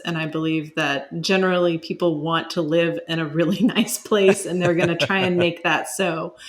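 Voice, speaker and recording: Low-voiced woman, expert guest. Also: fairly bright tone. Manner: thoughtful, explanatory. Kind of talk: conversational explanation.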